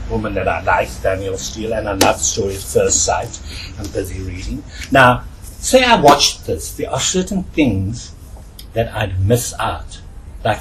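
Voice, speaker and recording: Earnest South African man, lower-pitched talk, noisy recording. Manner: earnest, halting with emphatic repetition. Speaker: volunteer children's storyteller. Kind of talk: reflective interview with animated storytelling. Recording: noisy, distant microphone.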